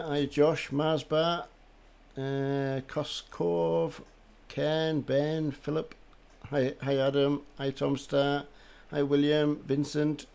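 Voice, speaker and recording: Older man, hoarse with a cold. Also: mid-range voice, darkish tone. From north of England. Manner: chatty, greets viewers by name. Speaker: game streamer. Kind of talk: casual live-stream chat. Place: home gaming room with driving rig. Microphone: headset.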